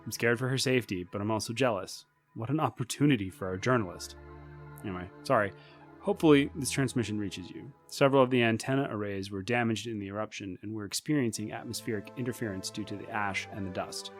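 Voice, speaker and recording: A man's voice: on the low side, fast, faint music behind, lightly acted. A man playing a worried, candid colleague sending a slightly awkward radio message in an audio drama.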